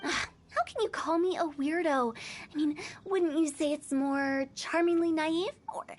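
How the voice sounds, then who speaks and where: A woman playing a playful, mischievous young funeral director in a video game, with theatrical, teasing showmanship. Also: very high voice. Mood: showy, playful. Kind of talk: video game character acting. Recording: music behind the voice.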